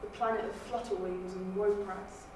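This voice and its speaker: A woman's voice: medium pitch, steady tone, moderate pace, natural voice. Earnest, patriotic sci-fi speechmaker played by a woman, declamatory, distant, noisy reverberant room. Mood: earnest, proud. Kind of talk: dramatic audition reading. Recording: very echoey room, distant mic, clear noise.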